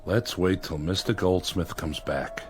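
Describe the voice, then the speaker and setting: An actor playing a weary, sardonic survivor with a wry, commanding manner in a radio drama. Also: male voice, deep voice, measured pace, background noise. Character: weary, sardonic male survivor, cautious authority figure.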